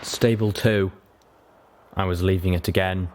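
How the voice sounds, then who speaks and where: Low-voiced man narrating a story. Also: fairly even intonation, unhurried, a little mellow, a little hiss or hum. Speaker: audiobook narrator.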